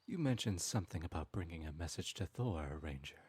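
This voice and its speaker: A man voicing a sly, smooth-talking male trickster god in an audio roleplay, speaking in a silky, teasing and persuasive way. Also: sits low, animated, fairly quick, darkish tone. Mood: scheming.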